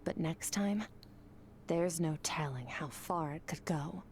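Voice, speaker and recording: A woman's voice: low-pitched, takes it slowly, darkish tone, a little hiss or hum. A woman voicing a cold, aloof female warrior who speaks in a curt, detached manner, in game dialogue.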